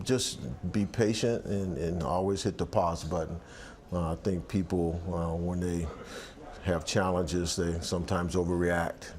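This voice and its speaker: A man's voice: deep voice, a little mellow. A man in his sixties, an athletic director, talking reflectively in a sit-down interview on an outdoor balcony, with many restarts and fillers. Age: 60s.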